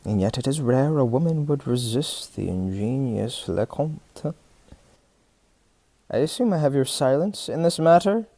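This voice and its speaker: A man's voice: mid-range voice, expressive, unhurried, dark tone, no echo. A man playing a vain, lustful, scheming captain in a period audio drama, speaking in theatrical, formal old-fashioned language. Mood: commanding. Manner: theatrical, archaic formal diction. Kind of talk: period stage-play audio drama acting.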